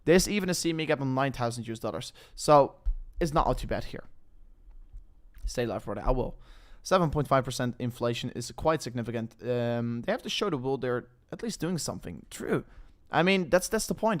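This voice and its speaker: A man's voice: mid-range voice, animated, a little fast. A young man with a Dutch accent hosting a live crypto stream, rambling casually, often breaking off and restarting his sentences. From Netherlands. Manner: rambling, self-interrupting, hesitant with fillers. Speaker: crypto livestream host.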